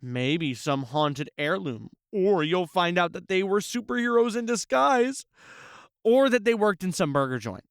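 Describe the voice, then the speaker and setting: A man's voice: high voice, lively intonation, unhurried, clean tone, dry recording, studio quality. An actor playing a flirtatious, playful male news reporter in an audio drama, with a breezy, teasing manner. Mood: playful, supportive.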